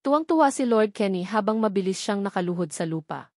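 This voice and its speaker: A woman's voice: medium pitch, animated, fairly quick, a little mellow, dry recording, studio quality. A woman reading a story aloud as a scripted audiobook narration.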